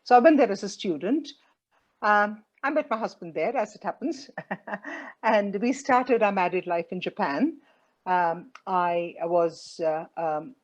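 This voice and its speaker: A woman's voice: fairly low pitch, darkish tone. A middle-aged woman from India with an Indian accent, answering interview questions thoughtfully with many ums and uhs. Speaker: guest.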